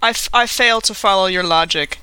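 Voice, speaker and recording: A woman playing a tabletop game in character, speaking formally and with measured, precise wording. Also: mid-range voice, bright, forceful tone, smooth, no echo, low-quality recording.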